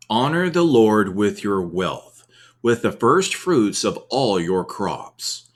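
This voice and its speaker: A man's voice: on the low side, a bit bright. A man reading scripture aloud in a devotional ASMR style. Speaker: scripture reader.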